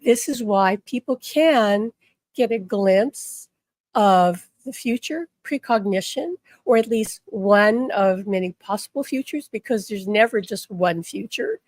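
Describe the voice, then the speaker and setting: A slightly hoarse woman giving an earnest spiritual talk, often checking in with the listener. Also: a little high, no echo. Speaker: spiritual teacher.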